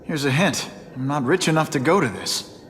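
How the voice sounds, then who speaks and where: An actor playing a wisecracking, earnest young superhero in a video game, talking in a casual, quippy way. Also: male voice, fairly high pitch, lively intonation, normal speed, fairly bright tone, a little hiss or hum. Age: young adult. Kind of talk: video game cutscene acting. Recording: music behind the voice.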